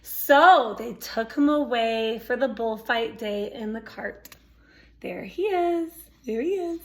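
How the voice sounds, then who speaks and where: A woman's voice: on the high side, takes it slowly, slight background noise. A woman reading a picture book aloud to young children, expressively, with exclamations, asides and questions to her listeners. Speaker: storytime reader.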